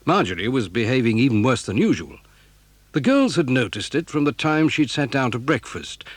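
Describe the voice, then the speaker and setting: A man's voice: mid-range voice, lively intonation, normal speed, breathy edge, no room sound, faint music behind. A man reading scripted narration for a children's audio drama, telling the story.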